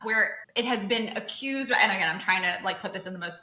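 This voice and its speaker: A woman's voice: medium pitch, a little fast, sharp tone, reverberant. A woman in her thirties, hosting a podcast and explaining finance in a chatty way, full of asides and "like". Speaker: podcast host. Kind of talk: casual explanatory chat.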